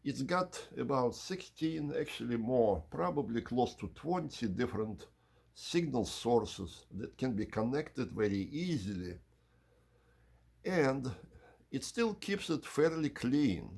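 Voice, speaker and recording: A man hosting an unhurried explanatory video tour in measured, slightly formal phrasing, with a husky, gravelly voice. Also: mid-range voice, darkish tone. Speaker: channel host giving an audio system tour.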